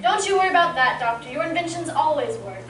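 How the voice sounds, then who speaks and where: A woman's voice: sits high, lively intonation, fast talker. A woman playing a curious, skeptical young female companion in an old radio serial, with an inquisitive delivery. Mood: reassuring. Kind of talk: 1940s radio serial acting. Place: community playhouse stage reading. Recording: distant mic, echoey room, faint noise.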